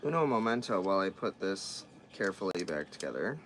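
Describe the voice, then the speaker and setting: A man's voice: sits low, very animated, a little fast, a bit bright, slight background noise. A man chatting casually as he works on a repair, thinking aloud and often trailing off and restarting his sentences. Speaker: vlogger repairing dolls. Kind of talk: casual chat while working.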